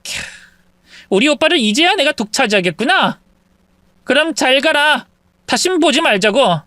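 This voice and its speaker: A smug, taunting young mistress, voiced by a woman reader with a lively, swinging delivery. She jeers and shrills when she shouts. The sound is dry and close. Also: sits high, moderate pace, dry recording, voice pushed up. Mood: mocking.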